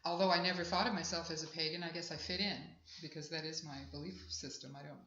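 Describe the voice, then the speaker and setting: A woman in her sixties talks about her music in a rambling, reflective way. Her voice is bright and clear, and the live recording has an echoey, somewhat dull sound. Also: deep voice, fairly even intonation, quick pace. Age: 60s. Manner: chatty, warm and reflective, rambling. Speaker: singer-songwriter guest. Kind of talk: casual interview at an outdoor festival. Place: festival grounds.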